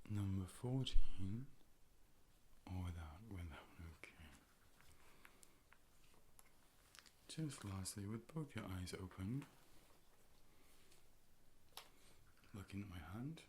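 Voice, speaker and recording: A man playing a calm, polite robot A.I. optician in an ASMR role play, speaking in whispers and soft, measured, clinical tones into a close microphone. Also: sits low, a little hiss or hum. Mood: calm, reassuring. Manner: measured, formal, procedural, soothing. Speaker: ASMR performer playing an eye doctor. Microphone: close-up ear-to-ear 3D microphone.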